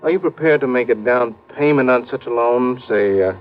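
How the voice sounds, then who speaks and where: An actor playing a smooth, guarded crime boss who poses as a lender, with formal, hesitant politeness, in an old radio drama. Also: male voice, mid-range voice, normal speed, close to an everyday voice. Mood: guarded, cagey. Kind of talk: 1940s-50s radio crime acting. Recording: muffled old radio recording.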